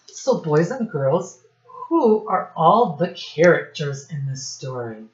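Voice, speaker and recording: A woman teacher reading a storybook aloud to young children in a deliberate, instructive way, pausing to ask them questions. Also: deep voice, big pitch swings, lo-fi sound.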